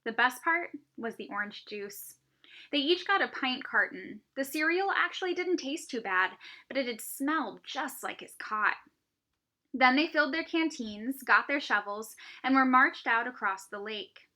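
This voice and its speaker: A woman teacher reading a children's novel aloud, with expressive, emphatic phrasing and dramatic pauses. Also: sits high.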